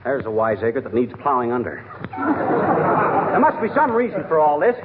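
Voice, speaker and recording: An actor playing a blustering, self-important small-town American husband, bossy and folksy, in 1940s radio comedy. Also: male voice, on the high side, expressive, moderate pace, a loud crowd, natural voice. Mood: bossy, excited. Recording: very noisy narrow-band 1940s radio broadcast.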